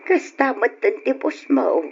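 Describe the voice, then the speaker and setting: A woman's voice: sits high, big pitch swings, unhurried, gravelly, a little hiss or hum, full character voice. A woman with a Filipino Ilocano accent playing a worried, middle-aged mother who probes and admonishes her grown daughter in an old-time radio drama. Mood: reproachful, concerned. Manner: probing, admonishing. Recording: dull old radio recording.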